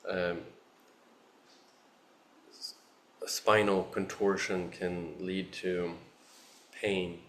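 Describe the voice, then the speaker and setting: A man leading a guided meditation as a teacher, speaking in a soothing, unhurried manner with long pauses. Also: low voice, little pitch movement. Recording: roomy, echoing space.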